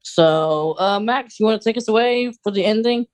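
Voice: mid-range voice, moderate pace. An American podcast co-host chatting casually in an informal, rambling, conversational way.

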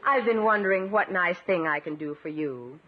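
A woman's voice: on the low side, animated, normal speed, close to an everyday voice. A woman playing a sweet, naive, doting sweetheart in a 1950s radio comedy. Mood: coy, affectionate. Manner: coy, gushing. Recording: muffled, hissy old radio recording.

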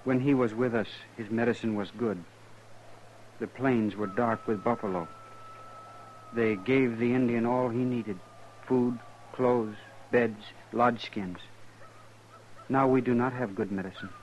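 An actor playing a solemn, loyal buffalo skinner in a 1950s radio western, speaking in slow, formal, halting sentences. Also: male voice, medium pitch, steady tone, darkish tone, lightly acted. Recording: dull old radio recording, faint noise.

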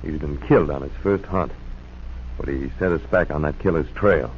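A man's voice: very deep voice, normal speed. An actor in a 1940s radio drama playing an urgent, authoritative lawman who leads a hound posse and barks orders. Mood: grave. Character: commanding, level-headed lawman leading a hound posse. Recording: noisy, muffled 1940s radio recording.

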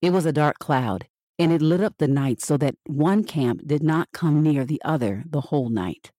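A woman reading scripture as scripted narration for a Bible podcast, measured and expressive with the dialogue. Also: sits low, no room sound, studio quality.

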